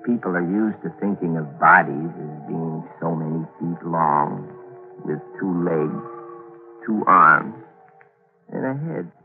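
A man's voice: deep voice, expressive, slow, creaky, soft background music, exaggerated voice. A man in a 1940s radio drama playing a scheming plotter who also narrates, clipped and commanding. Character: cool, scheming male schemer plotting a cover-up. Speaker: narrator and lead character. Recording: tinny old radio broadcast with hiss.

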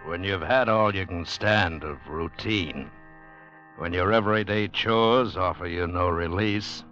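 A man delivers an ominous, measured 1940s radio announcement. His voice is very low and unhurried. Faint music sits behind it on a muffled vintage recording. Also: pushed and bright.

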